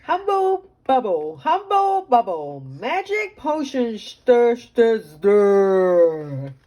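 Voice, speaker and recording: A woman voicing a small, brave male platypus doctor from a children's storybook, calm and firm, speaking slowly and deliberately. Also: a little high, voice pushed down, exaggerated voice. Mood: singing chant. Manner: slow, emphatic, deliberate. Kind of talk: children's storybook read-aloud.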